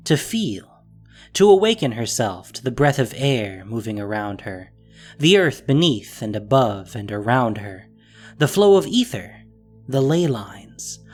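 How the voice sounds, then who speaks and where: A narrator reading a scripted fantasy story with expressive, descriptive delivery. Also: mid-range voice.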